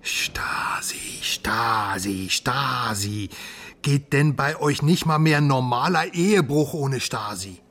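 An actor playing a wry, cautious journalist who talks in a grumbling, conspiratorial half-mutter in a radio crime drama. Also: male voice, medium pitch, expressive, slow, a bit bright, heavily acted. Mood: exasperated. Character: wry, weary Western journalist, adult man, cautious informant. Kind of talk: naturalistic modern radio crime drama acting.

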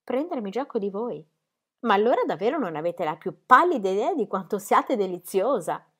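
A woman's voice: mid-range voice, big pitch swings, normal speed, a bit bright, breathy edge. A woman voicing a romantic male artist who speaks in lofty, poetic declarations. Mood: tender.

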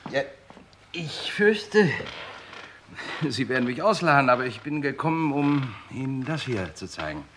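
A man's voice: medium pitch, very animated, measured pace, fairly bright tone, slight background noise. An actor playing a polite, wry, talkative crime writer who narrates his own case in a vintage German radio play. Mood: polite, eager. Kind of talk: 1950s-80s German radio crime drama acting.

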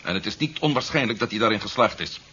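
A cunning male security agent who reasons fast and methodically, his voice bright and forceful. It is 1970s radio drama, muffled with faint hiss. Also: fairly low pitch, animated, breathy edge, full character voice. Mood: methodical, assured. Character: cunning male Martian-turned security agent hunting fugitives.

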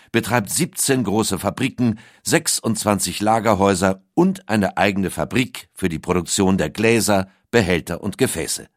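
A man's voice: lowish voice, dry recording, clean studio sound. A man reading a scripted documentary audiobook as its narrator. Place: dry recording studio.

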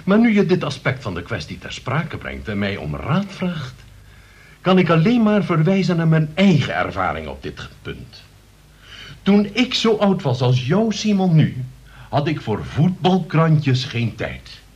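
An actor in a Dutch radio play playing a pompous, long-winded middle-aged uncle who moralizes about politics. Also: male voice, a little high, huge pitch swings, a little slow, a little mellow, slightly rough, lo-fi sound, exaggerated voice. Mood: self-important.

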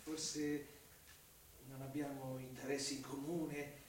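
An actor playing a cunning, mocking investigator in a theatrical Italian radio drama, insinuating and menacing, swinging from hushed to loud. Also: male voice, medium pitch, level tone, takes it slowly, close to an everyday voice. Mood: probing, sociable. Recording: very echoey, distant microphone, faint noise.

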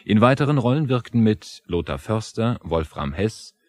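A man announcing radio credits formally, in a measured studio delivery. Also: lowish voice, sing-song, a little mellow. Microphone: close studio microphone.

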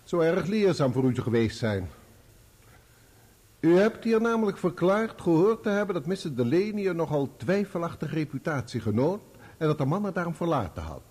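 A man acting in a radio play as a sharp, insistent barrister, formal, pointed and sardonic as he questions a witness. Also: on the high side, very animated, moderate pace, breathy edge. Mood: probing, formal. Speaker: actor playing a lawyer.

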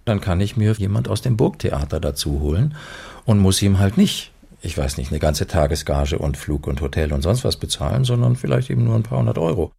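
A man talking casually and explaining things, with pauses as he searches for words. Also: low voice, expressive, gravelly, dark tone, slightly rough. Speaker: radio drama actor. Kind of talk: casual conversation.

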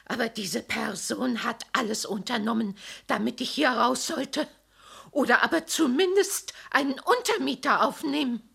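A frail old widow. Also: female voice, on the high side, normal speed, gravelly, a bit bright, husky, full character voice. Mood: confiding. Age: older. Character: elderly widowed woman tenant, lonely and fearful. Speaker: witness. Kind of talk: radio drama acting.

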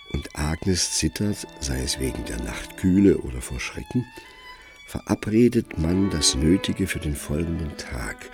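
A man narrating a literary radio play in a grave, measured and expressive manner. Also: very deep voice.

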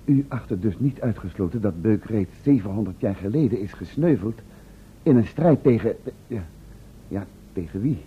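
A man's voice: fairly low pitch, expressive, moderate pace, slightly rough. A man playing a professor's curious, sceptical assistant in a Dutch radio play, speaking formally with dry irony. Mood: concerned. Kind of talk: Dutch radio drama acting. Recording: narrow, tinny old radio recording.

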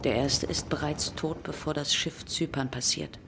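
A woman as the solemn narrator of a radio play, speaking in a measured, incantatory, poetic way. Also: low-pitched, steady tone, background noise. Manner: measured, incantatory, repeating phrases. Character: solemn female narrator of a plague ship's voyage. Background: prominent music.

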